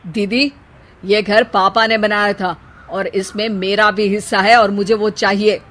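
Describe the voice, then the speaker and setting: A woman's voice: mid-range voice, level tone, unhurried, fairly bright tone, lightly acted. A woman voicing an earnest, emotional young man, the younger brother in a cartoon moral story. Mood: angry.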